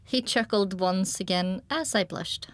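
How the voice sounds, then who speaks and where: A woman's voice: mid-range voice, a little slow. A woman reading scripted first-person story narration with a descriptive storytelling delivery. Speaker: audiobook narrator.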